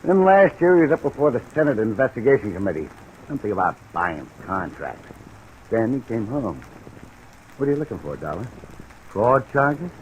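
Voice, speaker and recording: An American man playing a gruff, matter-of-fact police detective in an old-time radio drama. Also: mid-range voice, big pitch swings, moderate pace, close to an everyday voice. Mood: businesslike. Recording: old radio recording, faint crackly hiss.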